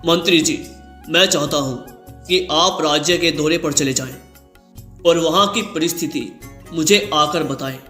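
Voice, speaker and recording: Man playing a bright-voiced, deliberate old king. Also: fairly high pitch, echoey room, faint music behind. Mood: thoughtful, formal. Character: old male king, fatherly, deliberate and grave.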